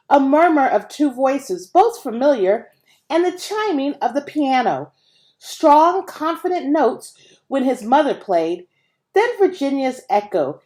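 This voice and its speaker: A woman reading a children's novel aloud as scripted narration, in an expressive, dramatic manner. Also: sits high. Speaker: audiobook reader.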